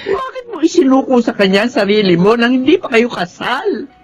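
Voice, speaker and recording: A woman's voice: a little high, sing-song, fairly quick, soft background music. A woman playing a worried, reproachful middle-aged mother with a Filipino accent in an emotional drama, pressing with questions. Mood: upset, scolding. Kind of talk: emotional radio-drama acting.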